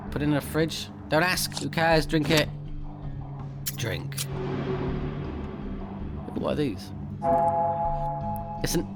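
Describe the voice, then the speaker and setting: Guy streaming a game, mid-range voice, blunt and expressive, game music clearly behind him. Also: medium pitch. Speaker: let's play streamer. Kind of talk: casual gameplay commentary with read-aloud game text.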